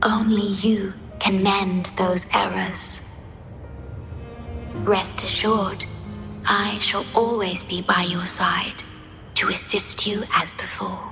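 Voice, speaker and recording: An actress playing a serene, mysterious guardian in a video game, speaking softly and formally in a measured, gentle, devoted way. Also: female voice, mid-range voice, level tone, music in the background. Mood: gentle, solemn. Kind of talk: scripted video game voice acting.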